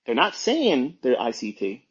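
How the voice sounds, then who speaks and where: Male educator, informal monologue. Husky, emphatic, admonishing delivery with repeated phrases, on a dull lo-fi recording. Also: medium pitch, huge pitch swings, unhurried. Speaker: trading educator hosting an online audio talk. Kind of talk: informal unscripted monologue, lecturing.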